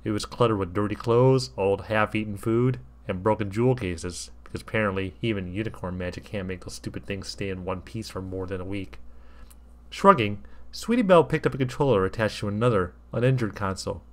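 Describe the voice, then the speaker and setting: A man's voice: lowish voice, a little fast. A man reading a comic story aloud with wry, mock-earnest delivery. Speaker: fan fiction reader.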